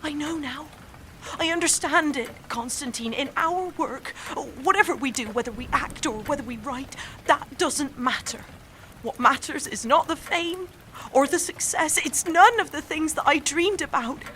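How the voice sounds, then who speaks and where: A young woman acting in an audio drama as a fragile, fervent, exhausted actress, halting and repeating herself. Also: high voice, sharp tone, a little hiss or hum. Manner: halting, repetitive, self-correcting, intense.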